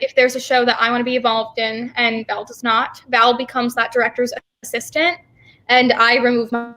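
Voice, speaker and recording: A young woman guest in a casual interview, with a clearly bright, slightly high voice. She talks informally and with enthusiasm, dropping "like" often. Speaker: theater company co-founder, interview guest.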